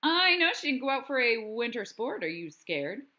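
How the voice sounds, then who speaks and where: A woman's voice: on the high side, sing-song, moderate pace, bright, forceful tone, a little hiss or hum, pitched above the performer's own voice. A woman voicing a cocky, teasing schoolboy in a storybook, with a taunting, theatrical manner.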